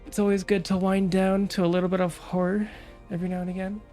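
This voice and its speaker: A young woman VTuber chatting calmly about her stream plans, her nose stuffed up from a cold, with lots of fillers. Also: medium pitch, fast, faint music behind. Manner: calm, relaxed, rambling with many fillers. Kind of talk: casual stream chat.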